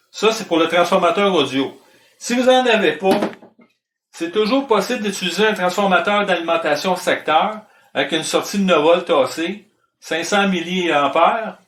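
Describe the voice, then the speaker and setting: A man's voice: high-pitched, fairly bright tone. A man with a Quebec French accent giving an informal hands-on technical demonstration as an electronics instructor, explaining step by step and repeating key points. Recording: roomy echo, distant microphone.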